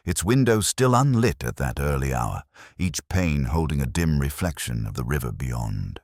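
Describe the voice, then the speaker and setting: A very low, dry-recorded voice of a man acting as a brooding police inspector. He narrates a detective story in measured, reflective phrases. The delivery is literary and watchful, like a scripted audio drama. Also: darkish tone, no echo, exaggerated voice. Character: brooding, observant English male police inspector narrating his case.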